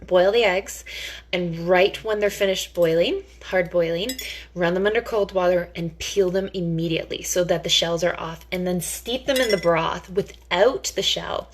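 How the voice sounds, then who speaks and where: A woman's voice: on the low side, normal speed, a little hiss or hum. A woman giving a casual cooking demonstration in a chatty, theatrical, playfully enthusiastic way.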